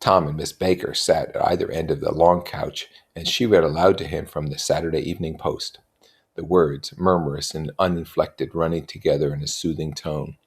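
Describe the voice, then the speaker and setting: A man reading a literary novel aloud as an expressive narrator and commentator. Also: very deep voice, normal speed, husky. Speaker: reader and commentator.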